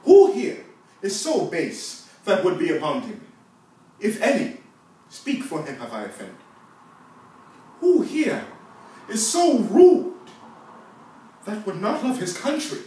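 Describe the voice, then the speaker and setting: A man performs a proud, solemn Roman nobleman in a classical stage monologue. His fairly high voice swells and drops widely with emphatic oratory. It rings through a very echoey room. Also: huge pitch swings, slow, pauses often, warm tone, exaggerated voice. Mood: defiant challenge. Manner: declamatory, emphatic rhetorical delivery with big swells. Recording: very echoey room, distant microphone.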